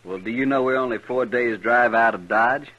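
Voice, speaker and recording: An American actor playing a gruff, plain-spoken trail boss in a 1950s western radio drama, blunt and folksy with dry humour. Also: male voice, mid-range voice, normal speed, pushed and bright. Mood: blunt, guarded. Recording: noisy, muffled old radio recording.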